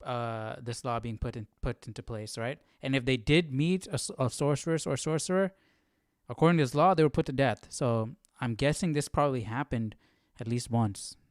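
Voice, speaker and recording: Guy thinking out loud on a bible stream, puzzled and slangy, mid pitch, close dry mic. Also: steady tone, moderate pace, no echo. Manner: puzzled, questioning, informal slangy talk. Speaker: livestream Bible study host. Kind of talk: casual spontaneous commentary while reading.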